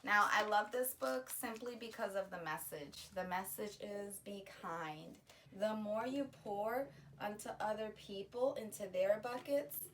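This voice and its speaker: A woman reading a children's picture book aloud, pausing to explain the story to young listeners. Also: mid-range voice, distant mic, a little hiss or hum. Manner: slow, gentle storytime delivery with explanatory asides. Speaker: children's read-aloud host.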